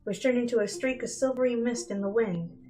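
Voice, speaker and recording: A woman's voice: on the high side, fairly quick, warm tone, rough recording. A woman narrating a story as a dramatic reading, with instrumental music behind her. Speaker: fan fiction reader.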